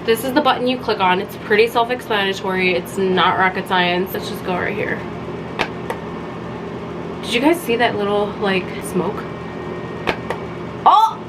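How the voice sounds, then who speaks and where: A young woman who is sick and hoarse chats casually to camera in a home vlog, her voice raspy and often sinking toward a near-whisper. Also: mid-range voice, moderate pace, long pauses, music in the background, low-quality recording. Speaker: lifestyle vlogger. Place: home, indoors.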